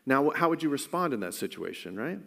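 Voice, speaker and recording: A man's voice: medium pitch, very animated, fast talker, creaky, fairly bright tone, long reverb tail. A man preaching a sermon in an earnest, conversational way, often restarting his phrases. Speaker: pastor.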